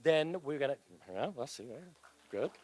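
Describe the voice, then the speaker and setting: A man's voice: medium pitch, expressive, normal speed, darkish tone, a little hiss or hum. A man moderating a live panel discussion at an event, upbeat and loose, joking with the audience between questions.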